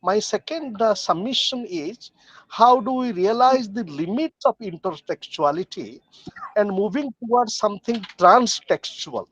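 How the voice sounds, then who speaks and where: A man offering formal remarks as an academic discussant on an online call, speaking in a halting, deliberate way. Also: sits high, animated, a bit bright, rough recording. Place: joining a video call from a train.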